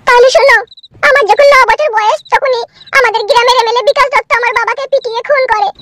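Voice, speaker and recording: A squeaky, fast-talking scheming young wife. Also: female voice, steady tone, very noisy. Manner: coaxing, wheedling, then commanding. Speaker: cartoon character. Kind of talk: Bengali cartoon comedy dubbing.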